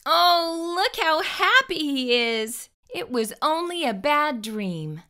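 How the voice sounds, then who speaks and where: A woman's voice: high voice, big pitch swings, takes it slowly, sharp tone. A middle-aged woman hosting a children's storytime, reading aloud expressively with exaggerated emphasis and addressing the young listeners directly. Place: small furnished room indoors. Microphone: lapel microphone.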